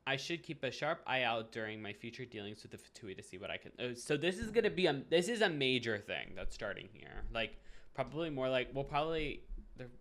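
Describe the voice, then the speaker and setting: Male gaming reactor, casual and rambling, mid-pitched with a gravelly edge. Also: fairly bright tone. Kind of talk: casual reaction commentary, sometimes reading game text aloud.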